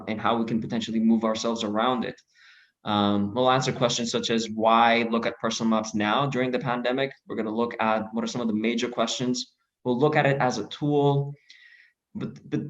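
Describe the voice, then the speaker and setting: A man from Greece walks through a presentation over a video call, in a measured way that is full of fillers and restarts. He has a mid-range voice. The audio is dull and lo-fi. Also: mid-range voice. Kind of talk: online presentation.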